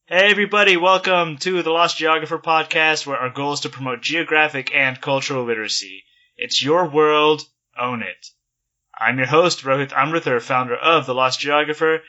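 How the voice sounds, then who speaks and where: A man's voice: medium pitch, sharp tone, dry recording. A man hosting a podcast, reading an ad and introducing his guest in an upbeat, scripted way. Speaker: podcast host.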